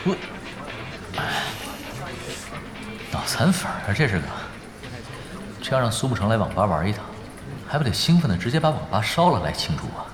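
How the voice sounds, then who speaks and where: A low male voice with wide swings in pitch, a young gaming expert who knows it all. He is dry, understated and quietly sarcastic, in audio drama dialogue over music. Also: very animated, fairly quick, pauses often. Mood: exasperated.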